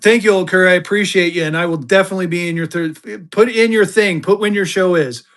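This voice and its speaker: A man hosting a live-stream auction of paper money, chatty, jokey and excitable. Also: high-pitched, a little fast. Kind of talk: casual live-stream selling chat.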